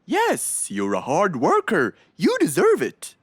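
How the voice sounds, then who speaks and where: A woman's voice: on the low side, huge pitch swings, slow, husky, no room sound, clean studio sound, exaggerated voice. A woman voicing a kind, helpful male fox in a children's cartoon, with an exaggerated, reassuring, sing-song delivery. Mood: encouraging.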